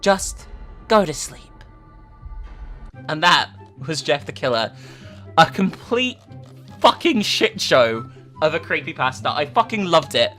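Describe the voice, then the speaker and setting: A woman narrator gives a dramatic reading with casual commentary. Her voice is bright and forceful with very animated pitch, and faint music plays behind her. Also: a little slow.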